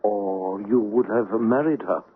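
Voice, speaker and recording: An actor playing a sage, measured confidant in a radio drama, formal and philosophical in manner. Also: male voice, fairly low pitch, narrow, tinny audio, slight background noise. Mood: calm, probing. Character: sage, measured male confidant named Chung Lee. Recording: band-limited old radio recording.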